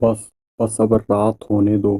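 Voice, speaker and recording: A man's voice: on the low side, completely flat delivery, slow pace, dark tone, poor audio. A man voicing a greedy, mocking, scheming villager in a cartoon moral story, with a taunting, exclamatory delivery. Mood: angry, vengeful.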